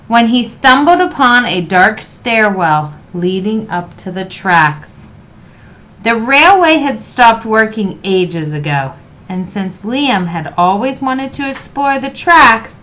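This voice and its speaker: A woman reading a children's picture book aloud in a measured, expressive way. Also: mid-range voice. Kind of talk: read-aloud children's story narration. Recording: dull, lo-fi sound.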